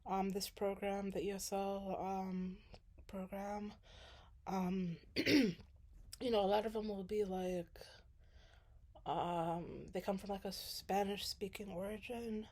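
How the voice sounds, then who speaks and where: A woman's voice: mid-range voice, flat delivery, slow, a little mellow. A young woman from Sudan chatting casually as a graduate student, rambling with many fillers and restarts. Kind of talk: casual chat.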